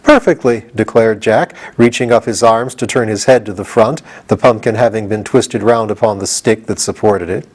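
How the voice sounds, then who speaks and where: A man reading a children's storybook aloud in an expressive narrating style. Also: fairly low pitch, moderate pace, hardly any pauses. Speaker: audiobook reader.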